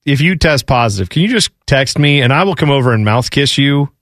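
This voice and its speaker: A radio co-host riffs in a middle-pitched voice, tossing out jokes and doubling back over his own words. His voice sits close and dry on a studio mic. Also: expressive, a little fast, fairly bright tone, dry recording. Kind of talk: morning radio banter.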